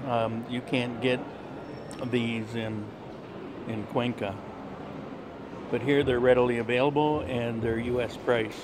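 A relaxed man narrates a walking tour of a mall, chatting casually with lots of ums and uhs. His voice sits at an ordinary middle pitch. Clear traffic and mall noise run behind him on a handheld camera mic. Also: takes it slowly, long pauses. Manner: chatty, relaxed, with frequent fillers. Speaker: travel vlogger. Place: outdoor mall terrace and shopping center.

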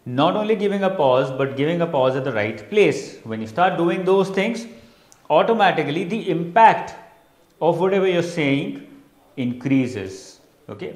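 A young man with an Indian accent giving an instructional talk as a coach, stressing keywords emphatically and pausing deliberately. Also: a little high. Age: young adult. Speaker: interview coach. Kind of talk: instructional lecture to camera. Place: indoor studio in front of a digital whiteboard. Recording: roomy echo.

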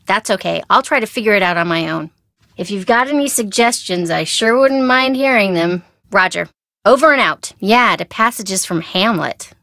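A woman playing a perky, chatty and nervous young American sidekick in a video game, expressive and wry in a casual conversational way. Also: medium pitch, no room sound, clean studio sound.

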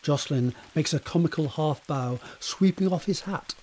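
A man's voice: fairly high pitch, normal speed, darkish tone, slight background noise, close to an everyday voice. A man narrating scene directions for a period romance audio drama in a scripted present-tense style. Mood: descriptive.

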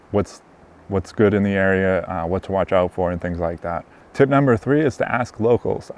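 A man in his thirties giving casual camping tips straight to camera outdoors, relaxed and conversational with frequent ums. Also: low-pitched. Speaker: motorcycle camping vlogger. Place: outdoors in a sunny wooded campsite.

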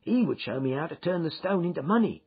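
Panicked young thief, high and shrieking, husky edge, lo-fi. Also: male voice, big pitch swings, fast talker, full character voice. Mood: nervous confessing. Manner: shrieking, desperate, sobbing. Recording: dull lo-fi recording.

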